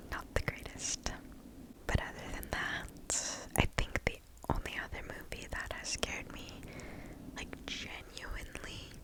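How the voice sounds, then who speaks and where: A woman hosting ASMR, reading and chatting in a soft, breathy, unvoiced whisper very close to the microphone. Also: poor audio. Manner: soft, slow, unhurried. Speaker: ASMR video host.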